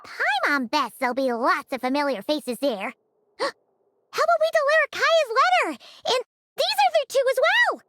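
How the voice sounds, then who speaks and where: A woman voicing a tiny excitable fairy companion who chatters and speaks of herself in the third person. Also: extremely high pitch, a little slow, background noise, full character voice. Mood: excited.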